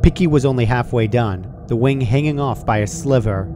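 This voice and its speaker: A man's voice: mid-range voice, moderate pace. A man reading a scripted story aloud as its narrator. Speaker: fan fiction narrator. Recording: clearly noisy home recording.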